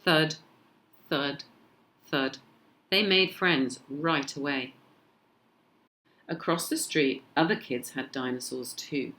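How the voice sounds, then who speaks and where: A woman with a British accent reading a children's storybook aloud in an expressive narrating style. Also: sits low, slow, long pauses, low-quality recording. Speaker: storybook reader.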